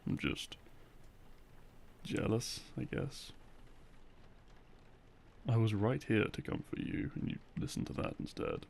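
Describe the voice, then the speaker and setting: Gravelly, hushed boyfriend, acted by a man. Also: fairly low pitch, sing-song, slow pace, pauses often, full character voice. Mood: hurt, jealous. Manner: soft, hushed, close and coaxing. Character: loving boyfriend, gently teasing, a little jealous. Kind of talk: intimate ASMR boyfriend roleplay. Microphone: close binaural microphone. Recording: close binaural recording, faint noise.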